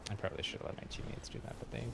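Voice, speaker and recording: A man's voice: racing, heavy background noise. A man casually commentating on his gameplay as a streamer, with playful mock exasperation. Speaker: game streamer. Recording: loud game music behind voice. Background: loud game music.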